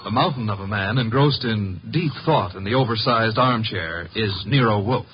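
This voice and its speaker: A man's voice: on the low side, normal speed, a little hiss or hum. A man announcing and narrating in 1950s radio style, polished and theatrical. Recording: muffled old radio broadcast. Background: music.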